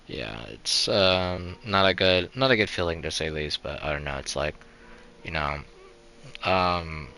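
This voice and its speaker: Low, bright-toned young man rambling. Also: lively intonation, measured pace, gravelly, a little hiss or hum. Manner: rambling, heavy on "like" and "you know" fillers; restarts sentences often. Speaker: game streamer. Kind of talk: casual chat while live-streaming a game.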